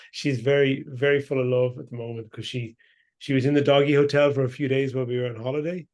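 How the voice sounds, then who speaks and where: A man hosting a podcast interview, chatting casually and warmly with his guest, often restarting his sentences. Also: medium pitch, little pitch movement, fairly quick. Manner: friendly, chatty, with frequent restarts. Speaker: podcast host.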